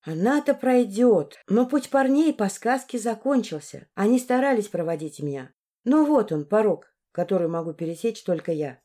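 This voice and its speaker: A woman's voice: mid-range voice, animated, moderate pace, dark tone, close to an everyday voice. A woman voicing a grumpy, folksy old village crone in a fairy-tale audiobook, grumbling and snapping in rustic dialect. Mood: gruff.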